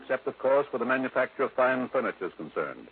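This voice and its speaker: A man's voice: medium pitch, very animated, fast talker, a little hiss or hum, lightly acted. Man doing stuffy fussy manager, super formal and proper, 1950s radio drama, tinny old recording. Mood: formal, courteous. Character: prim, stuffy old-fashioned male furniture company manager, easily scandalized.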